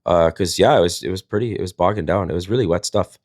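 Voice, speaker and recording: Low-voiced guy telling rambling stories. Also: fast talker, a little mellow, no echo, clean studio sound. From Wisconsin, United States. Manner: rambling, folksy, jokey mock-innocent. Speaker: livestream host. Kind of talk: casual comedic storytelling.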